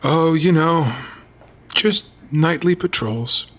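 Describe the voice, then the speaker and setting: An actor playing a shifty, guarded police officer in an audio drama, terse and evasive. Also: male voice, fairly high pitch, slow pace, fairly bright tone. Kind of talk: naturalistic audio drama dialogue.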